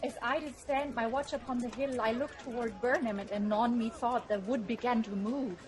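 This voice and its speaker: A woman plays a fearful sentry messenger in a Shakespearean radio play. The voice is fairly high, with a hesitant, pleading delivery. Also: steady tone, normal speed, natural voice. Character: fearful, faltering young messenger from the watch. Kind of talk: Shakespearean audio drama acting.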